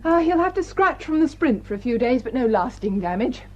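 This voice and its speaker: Brisk British woman doctor, husky, higher-pitched, wry, unflappable, radio play. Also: very animated, muffled recording. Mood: wry, matter-of-fact. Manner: brisk, wry, matter-of-fact. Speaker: radio drama actor. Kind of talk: British radio drama acting.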